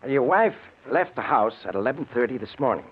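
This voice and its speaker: Husky, hesitant private detective. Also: male voice, medium pitch, sing-song, a little fast. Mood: businesslike, hesitant. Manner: hesitant, deferential. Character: matter-of-fact, slightly uneasy male private detective. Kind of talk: old-time radio mystery acting. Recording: tinny old radio broadcast.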